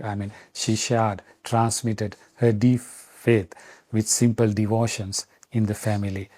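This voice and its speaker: A middle-aged man from Sri Lanka speaks in a lowish, mellow voice. He answers reflectively, pausing often with fillers and restarting sentences. The sound is close and dry. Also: no echo. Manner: halting, many fillers and restarts. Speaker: Vatican official interviewed.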